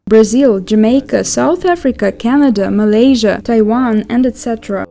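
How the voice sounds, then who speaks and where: A woman narrates a promotional script in a calm, measured and formal manner. Her voice sits a little high but sounds soft and mellow rather than bright. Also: creaky. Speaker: voice-over narrator.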